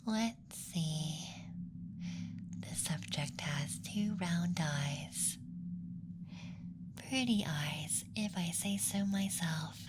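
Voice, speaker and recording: A man voicing a playful young alien abductor in a whispered ASMR roleplay, speaking softly in a teasing, clinical manner. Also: on the high side, drawn-out words, long pauses, background noise. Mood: curious, clinical.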